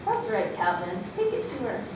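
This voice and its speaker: A woman playing a brisk, exasperated female schoolteacher in a readers theatre school story. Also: wildly swooping pitch, far from the mic. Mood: stern, impatient. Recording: echoey room from afar, loud rumbling noise.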